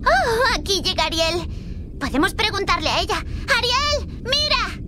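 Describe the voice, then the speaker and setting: Very high-voiced, earnest young heroine. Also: female voice, sing-song, unhurried, noisy background, full character voice. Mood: curious. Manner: earnest, sincere. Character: brave, kind young girl adventurer. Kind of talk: video game character acting.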